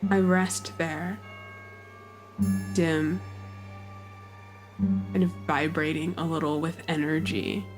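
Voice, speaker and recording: A woman playing a tabletop game, narrating her character's actions in a measured, pensive way. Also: low voice, fairly even intonation, soft background music.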